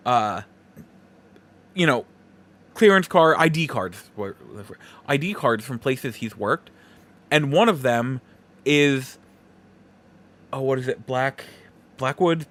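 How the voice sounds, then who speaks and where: A man's voice: a little high, fairly bright tone. A man hosting a podcast, talking through a casual, rambling review in a conversational way. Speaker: podcast host. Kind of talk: casual movie review monologue.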